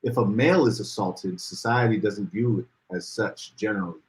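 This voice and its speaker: A man co-hosting a casual podcast livestream, reading out viewer comments and getting animated as he talks. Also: normal speed, rough recording. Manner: animated, rises in pitch when worked up. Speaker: podcast co-host.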